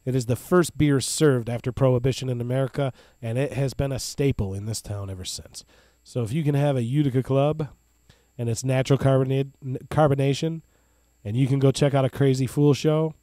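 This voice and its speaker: A man's voice: medium pitch, fairly even intonation, brisk, mellow, no room sound. A man, co-hosting a casual baseball podcast and talking up local beer and bands with gusto. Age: 30s. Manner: enthusiastic, hype-man plugs. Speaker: podcast co-host. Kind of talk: casual sports podcast chat.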